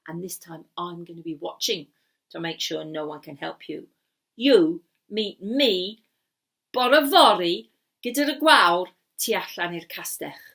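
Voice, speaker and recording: A woman voicing an angry, scheming male wizard in a storytelling session, with stern, emphatic, drawn-out commands. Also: fairly low pitch, creaky, fairly bright tone, pitched below the performer's own voice. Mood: menacing.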